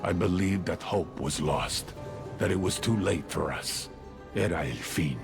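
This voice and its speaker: A grave cartel zealot delivers a reverent sermon in a game. The voice is very deep and gravelly, moving slowly and deliberately over prominent music. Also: male voice. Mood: reverent, solemn. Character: solemn cartel preacher exalting his queen like a saint. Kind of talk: slow sermon-like dramatic monologue. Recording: music behind the voice.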